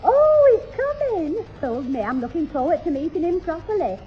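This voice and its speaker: Eager, coy widow. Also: female voice, very high voice, sing-song, normal speed. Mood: pleased. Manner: coy, gushing. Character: eager, romance-hungry middle-aged northern widow. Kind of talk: 1960s radio sitcom acting. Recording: dull old 1960s radio recording, faint music.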